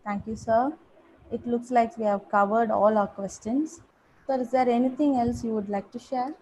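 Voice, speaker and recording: A woman's voice: a little high, fairly even intonation, moderate pace, a little mellow, poor audio. A woman from India moderating a webinar, polite and formal, addressing the guest as sir. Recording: faint background noise.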